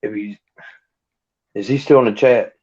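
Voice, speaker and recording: A man's voice: on the low side, fairly even intonation, normal speed, mellow, slightly rough. A man in his late thirties, co-hosting a casual livestream chat, laid-back and chatty, telling stories. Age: 30s. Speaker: co-host. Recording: muffled lo-fi stream audio.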